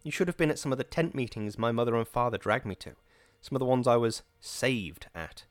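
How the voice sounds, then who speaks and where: A man's voice: mid-range voice, fairly quick, no echo, close to an everyday voice. A man doing a weary, bitter young wife. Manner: flat, mirthless.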